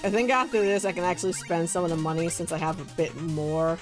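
A man's voice: fairly even intonation, quick pace. A young man chatting casually while streaming a video game, playful and laughing often. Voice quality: naturally deep for him but light, giggly. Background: faint game music.

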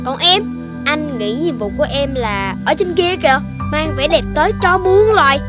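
A woman's voice: high voice, animated, moderate pace. A woman voicing a kind, earnest little Dalmatian puppy boy in a children's cartoon. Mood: earnest, encouraging. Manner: earnest, thoughtful. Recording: children's cartoon music underneath.

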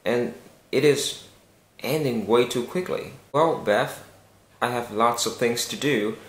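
A young man, Vietnamese his first language, speaks straight to camera at an unhurried pace with small hesitations. His voice sits in a middle pitch. The small bare room gives the sound a clear echo. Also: fairly bright tone, a little hiss or hum. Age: young adult. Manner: slow, careful and earnest, with some hesitations. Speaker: vlogger. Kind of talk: casual vlog to camera. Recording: echoey room.

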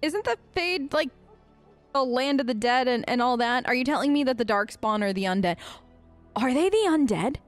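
A woman with a fairly high voice improvises role-play as a gaming host. She is informal and playful, rambling along in a chatty way, with light game music underneath. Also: animated, normal speed. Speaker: gaming video host, role-playing her character.

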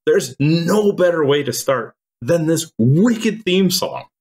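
A man from Croatia co-hosting a podcast, explaining things in a measured, storytelling way. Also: on the high side, expressive, a little mellow. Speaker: podcast co-host. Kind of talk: casual podcast chat.

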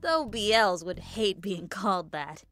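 A woman's voice: medium pitch, lively intonation, unhurried, fairly bright tone, faint music behind. A woman playing a friendly, teasing young woman in a video game, casual and blunt.

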